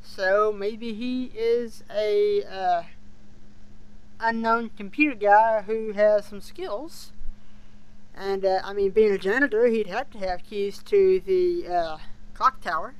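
A podcast co-host chatting casually as a fan, speaking in a halting way with hesitations that trail off. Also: medium pitch, clear, resonant tone, low-quality recording.

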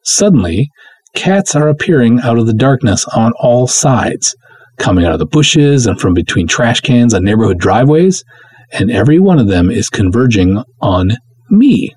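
A man narrates as a plucky but jittery young woman telling a spooky first-person tale. The delivery is animated and swings freely in pitch, with a husky edge and breezy 1950s slang. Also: normal speed. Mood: rattled, breathless suspense.

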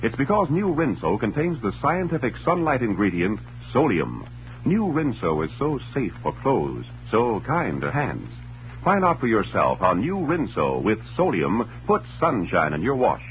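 A man's voice: medium pitch, very animated, a little slow. A man reading a scripted 1940s radio advertisement with an emphatic sales delivery. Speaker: commercial announcer. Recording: muffled old radio recording, hum, noise.

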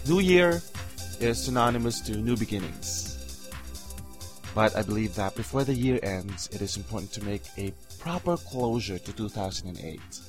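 A man hosting his own podcast, talking calmly and earnestly in a reflective solo monologue with soft fillers. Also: lowish voice, normal speed, faint music behind. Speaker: podcast host.

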